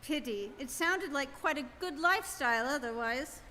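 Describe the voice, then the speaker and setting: An actor as hapless Earthman, male, very high voice, plaintive, apologetic, live radio play, reverberant hall. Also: animated, normal speed, sharp tone, a little hiss or hum, heavily acted. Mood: sympathetic.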